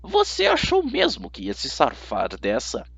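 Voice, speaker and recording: A man voicing a menacing female teacher who is secretly a monstrous hag, speaking slowly in a hissing, threatening way, in an audiobook. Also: mid-range voice, wildly swooping pitch, creaky, a bit bright, husky, dry recording, full character voice.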